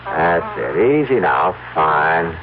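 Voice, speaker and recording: An actor playing an excitable, desperate ordinary husband in a radio drama, stammering and repeating his words with emotion. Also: extremely low pitch, slow pace, a crowd in the background, exaggerated voice. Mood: excited. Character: excitable, desperate everyman husband of an ailing wife. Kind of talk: 1970s radio drama acting. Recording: noisy, tinny old radio broadcast.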